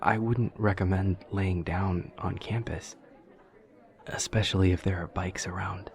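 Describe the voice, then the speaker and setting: A man playing an awkward, literal-minded demon college student in an audio roleplay, speaking in a halting, formal, earnest way. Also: low-pitched, fairly even intonation, gravelly. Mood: eager, informative. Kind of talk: roleplay audio drama acting.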